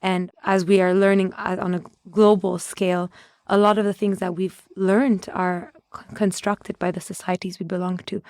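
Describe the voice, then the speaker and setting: A woman's voice: mid-range voice, level tone, moderate pace, a little mellow, no room sound. A woman from Ethiopia, a guest on a podcast, speaking with very little rise and fall in her voice. Her recording is dry and close. She answers reflectively, often breaking off and restarting words as she searches for the right phrasing. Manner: thoughtful, with frequent restarts while searching for words. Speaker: conservation adviser, podcast guest.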